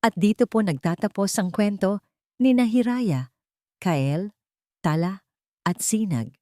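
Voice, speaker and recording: A woman's voice: mid-range voice, lively intonation, slow, dark tone, no room sound, clean studio sound. A woman narrating a scripted dramatic story as a storyteller and host.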